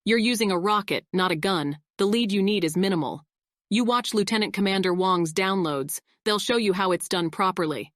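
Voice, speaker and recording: A woman's voice: medium pitch, normal speed, dry recording, clean studio sound, natural voice. A woman playing a no-nonsense military intelligence officer in an audiobook, matter-of-fact and authoritative. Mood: cold, stern. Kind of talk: audiobook character acting.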